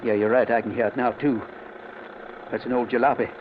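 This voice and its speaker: An actor playing a jittery, deferential convict sidekick with a folksy American accent in a radio drama. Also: male voice, medium pitch, fairly even intonation, brisk, lightly acted. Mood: uneasy, curious. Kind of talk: old-time radio drama acting. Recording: tinny, noisy old radio recording.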